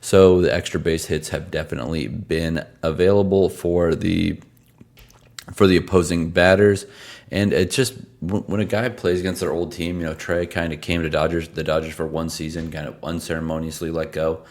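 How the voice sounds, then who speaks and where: A tired, hoarse man hosting a sports picks show, talking casually and rattling off stats from his desk at home. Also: low voice, fairly even intonation, normal speed, darkish tone. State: tired from travel. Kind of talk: casual sports betting analysis.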